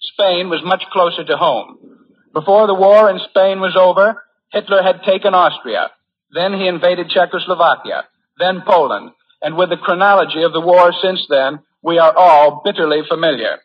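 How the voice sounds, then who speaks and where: American guy giving a formal wartime radio speech, pretty high husky voice, muffled old recording. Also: moderate pace. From United States. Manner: earnest, formal oratory. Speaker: war correspondent giving a speech. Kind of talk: 1940s radio address, prepared speech.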